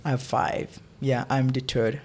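A young man from Haiti, a guest in a casual interview, who talks haltingly and often repeats words while he looks for them. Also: mid-range voice, flat delivery, creaky, a little mellow, slight background noise.

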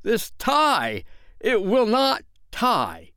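A man acting a blustering, high-pitched father. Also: wildly swooping pitch, very slow, pushed and bright, clean tone, dry recording, studio quality, exaggerated voice. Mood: yelling, sarcastic. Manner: pompous, sarcastic, emphatic. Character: Mister Darling, blustering, dramatic, self-pitying husband and father.

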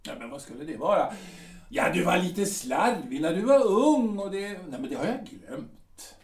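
A man's voice: sits high, sing-song. A man playing a bluff, boastful aging artillery captain in an audio drama. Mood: bickering. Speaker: Edgar. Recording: roomy and distant.

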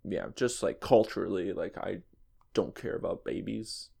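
A man on a casual comedy podcast, answering quiz questions slowly and hesitantly with many ums. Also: on the low side, creaky, dark tone, husky. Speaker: podcast co-host and quiz contestant.

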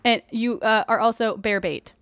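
A woman's voice: fairly high pitch, steady tone, moderate pace, fairly bright tone. A woman chatting informally as a podcast co-host, often in short interjections. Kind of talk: casual chat.

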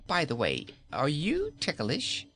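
A cruel, teasing queen, read aloud from a storybook by a man. She speaks slowly and commandingly, and her pitch climbs above his usual voice as she mocks her captives. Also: huge pitch swings, bright, forceful tone, exaggerated voice. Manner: teasing, imperious. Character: cruel, mocking queen, a woman. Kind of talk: audiobook character voice.

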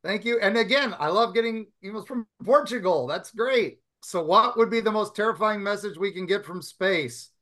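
Male podcast host, upbeat and jokey, bright slightly high voice. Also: normal speed, hardly any pauses. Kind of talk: casual group chat, reading listener emails.

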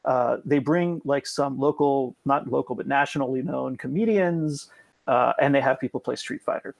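A man's voice: medium pitch, moderate pace, creaky, dry recording. A man speaking as a podcast guest, explaining at length in a casual way, with frequent ums and self-corrections. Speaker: guest expert.

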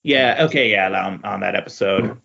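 A man speaking as a podcast guest in a casual comic book discussion, halting and often repeating words. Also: sits low, gravelly, bright, forceful tone, breathy edge. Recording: dull lo-fi voice recording.

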